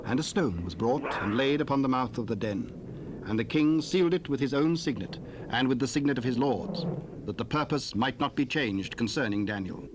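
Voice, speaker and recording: Solemn male bible narrator, tape hiss. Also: mid-range voice. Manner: measured, solemn storytelling. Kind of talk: scripted scripture narration for audio drama. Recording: hissy cassette tape rip.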